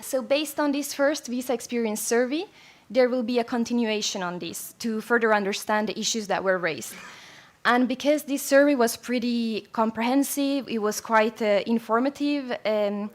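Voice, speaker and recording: A young woman from Finland presenting survey findings at a conference, measured and with frequent fillers. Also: fairly high pitch.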